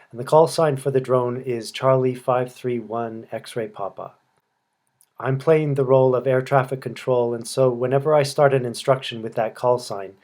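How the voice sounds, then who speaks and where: A man narrates a scripted demonstration in a measured, instructional manner. His voice sits at a middle pitch, and he also delivers formal controller-style radio phrases. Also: a little mellow. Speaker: presenter acting as air traffic controller.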